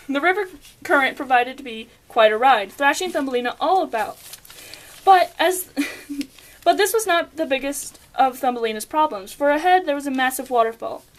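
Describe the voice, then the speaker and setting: Young woman reading a fairy tale script, pretty high voice, trips on words sometimes. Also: animated, normal speed. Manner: stumbles over words and giggles. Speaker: puppet show narrator. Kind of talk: scripted storybook narration.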